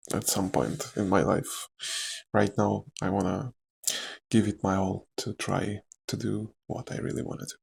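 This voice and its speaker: A young adult man with a Slavic accent, speaking softly and candidly in a rambling, casual ASMR chat, with frequent fillers and quiet laughs. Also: on the low side, vocal fry, husky. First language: Czech or another Slavic language. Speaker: actor.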